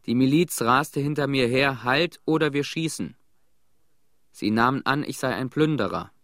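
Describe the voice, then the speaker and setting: An actor playing a haunted, quietly bitter middle-aged family man, giving deliberate testimony in a radio play. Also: medium pitch, no echo, studio quality, close to an everyday voice. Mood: agitated, bitter.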